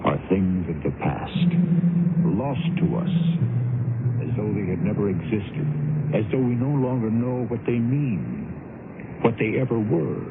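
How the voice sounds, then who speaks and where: A man hosting a radio mystery series, reading a script in a grave, dramatic way with deliberate phrasing. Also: on the low side, lively intonation, phone-quality sound, very noisy. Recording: band-limited old radio broadcast with music.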